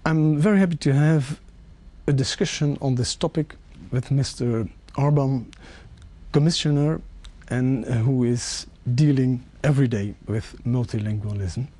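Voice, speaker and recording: A man whose first language is Dutch, interviewing an official in a measured way with halting restarts. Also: medium pitch, warm tone. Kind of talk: formal interview question.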